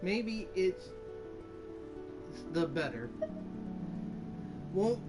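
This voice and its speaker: A gaming channel host giving casual gameplay commentary, reacting aloud in halting phrases. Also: medium pitch, very animated. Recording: game music under the voice.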